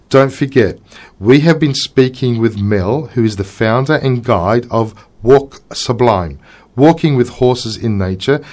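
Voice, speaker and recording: A man's voice: mid-range voice, a little mellow. An Australian man hosting a radio interview in a studio, courtly and effusive. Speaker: radio host.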